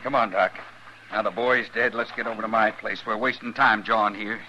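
A man's voice: lowish voice, steady tone, fairly quick, bright, forceful tone, slight background noise, low-quality recording. An actor playing a bitter, belligerent frontier father in a radio western, with a folksy, combative way of talking. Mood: impatient. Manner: folksy rural drawl, combative. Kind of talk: 1950s radio western acting.

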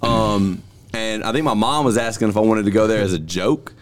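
A man with a low-pitched voice hosts a casual podcast. He jokes loudly and keeps up quick, showy emcee patter. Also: a little hiss or hum. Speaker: podcast host. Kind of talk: casual chat.

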